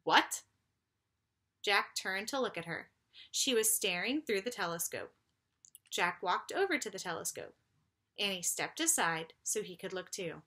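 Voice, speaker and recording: A woman reading a children's story aloud like a teacher, with deliberate, emphatic phrasing for young listeners. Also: medium pitch, expressive, sharp tone.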